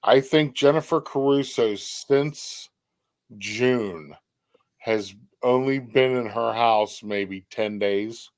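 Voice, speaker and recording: A man's voice: medium pitch, slow pace. A man hosting a live stream, chatty, welcoming viewers by name and sometimes tripping over his words. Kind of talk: casual live-stream chat.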